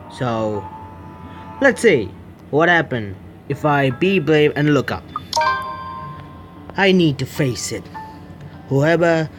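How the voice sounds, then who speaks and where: A man hosting a gaming video, reading game text aloud and commenting on it in a jittery, excitable way. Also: a little high. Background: game music.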